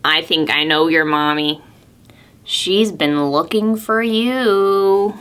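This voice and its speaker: A woman voicing a calm, kindly frog in a low, slow voice. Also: a little hiss or hum.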